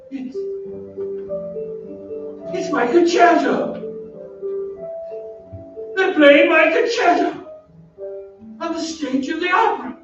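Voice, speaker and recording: Crazed masked composer in an old radio play, slow, self-loathing, super echoey and far from the mic, faint music. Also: male voice, very high-pitched, exaggerated voice. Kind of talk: old-time radio drama acting. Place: theatre stage. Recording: echoey stage, distant, muffled, faint music.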